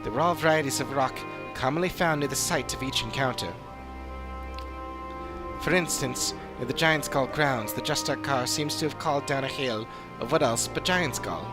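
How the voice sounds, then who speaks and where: A man acts out an earnest investigator ally in a fantasy video game. The delivery is measured, formal and articulate at a middle pitch, over clear music. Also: lightly acted. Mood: thoughtful, hopeful. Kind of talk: scripted video game dialogue.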